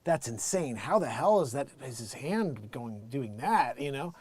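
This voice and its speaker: A middle-aged American man hosting a casual livestream, rambling emphatically and excitedly in an unscripted monologue, often acting out bits of quoted conversation. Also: mid-range voice, a little slow, husky. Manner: animated, rambling, emphatic, quotes himself and others. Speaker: live music show host and musician.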